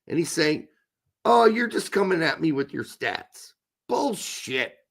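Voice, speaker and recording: A man co-hosting a casual podcast chat, hoarse with his voice going, blunt and opinionated with some swearing. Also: sits high, a bit bright. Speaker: co-host.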